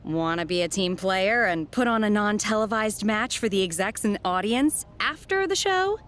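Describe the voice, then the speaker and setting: A woman's voice: mid-range voice, animated, moderate pace, a bit bright, soft background music, natural voice. A woman playing a pressed wrestling show official asking favors in conversational game cutscene dialogue. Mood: pleading.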